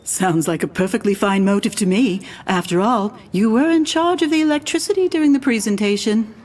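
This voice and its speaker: Actress as husky, dry, embittered scientist woman. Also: mid-range voice, fairly quick, a little mellow, lightly acted. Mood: bitter. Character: dry, embittered female scientist, easily flustered and defensive. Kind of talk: audio drama acting.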